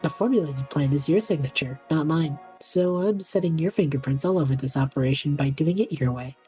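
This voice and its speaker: A woman's voice: low-pitched, a little fast, warm tone, faint music behind, natural voice. A young woman playing an easygoing, impulsive student with a playful, dry manner in a naturalistic audio drama. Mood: teasing.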